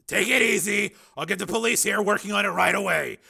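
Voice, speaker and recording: A man voicing Steele, a stern, forceful man who has become a machine, shouting and insisting in a dramatized audiobook. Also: high-pitched, a little fast, gravelly, breathy edge, pitched above the performer's own voice, heavily acted. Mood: firm. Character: hard-edged adult man turned machine, stern.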